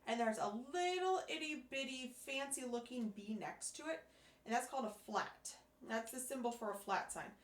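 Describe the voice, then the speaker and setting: Hoarse woman teaching, echoey room. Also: fairly high pitch. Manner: patient, step-by-step explaining with fillers. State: hoarse, losing her voice. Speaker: music teacher. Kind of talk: instructional demonstration.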